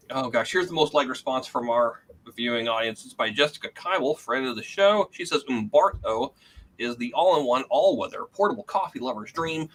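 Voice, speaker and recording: A man's voice: medium pitch, lively intonation, moderate pace, fairly bright tone, rough recording. A man hosting a comedy game show with casual, joking banter.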